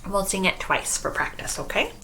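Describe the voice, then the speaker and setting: A woman in her thirties hosting a children's storytime, reading and talking in an expressive, deliberate way. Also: medium pitch, slight background noise. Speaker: library storytime host. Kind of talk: children's picture book read-aloud and storytime talk. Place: small room at home, indoors.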